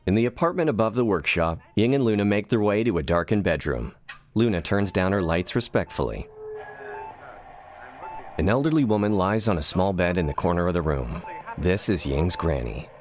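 A man's voice: low-pitched, expressive, moderate pace. A man playing a wry, tongue-in-cheek narrator of scripted sci-fi comedy, with theatrical flair and conversational asides. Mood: wry storytelling. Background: music.

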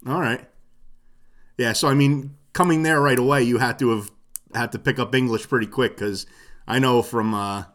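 A man's voice: medium pitch, fairly even intonation, normal speed, a bit bright. A man co-hosting a casual interview, asking loose, rambling questions with restarts. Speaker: podcast co-host.

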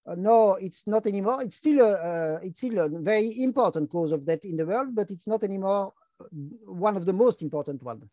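A man in his fifties with a French-speaking Belgian accent talks through an interview in a mellow, low-key voice. He stops and restarts often, leaning on hesitation sounds. The recording is dry, with no room around it. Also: animated, no echo, highs cut off. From Brussels, Belgium. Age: 50s. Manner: halting, frequent hesitation fillers. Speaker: guest, longevity activist. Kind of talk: casual interview talk.

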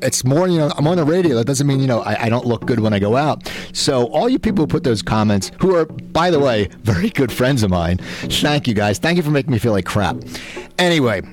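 A man's voice: mid-range voice, sing-song, fairly quick, soft background music. A man hosting a casual radio talk show, rambling, with frequent stammered restarts. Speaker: host.

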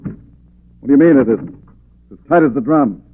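An actor in a 1940s radio drama playing a brusque, impatient police commissioner who talks loudly and abruptly. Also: male voice, medium pitch, expressive, moderate pace, heavy background noise, close to an everyday voice. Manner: loud, abrupt, exclaiming. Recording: tinny, narrow 1940s radio recording.

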